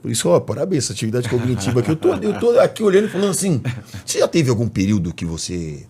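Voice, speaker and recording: A man co-hosting a podcast, asking questions with quick interjections. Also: mid-range voice, very animated, mellow, slightly rough. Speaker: podcast co-host. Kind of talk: casual interview.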